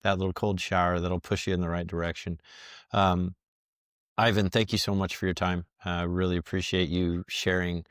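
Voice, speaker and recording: Deep voiced guy hosting a podcast, chatty and rambling, lots of ums, dry close sound. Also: flat delivery, normal speed, clear, resonant tone, dry recording. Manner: friendly, rambling, many fillers. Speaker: podcast host. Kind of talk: casual podcast interview.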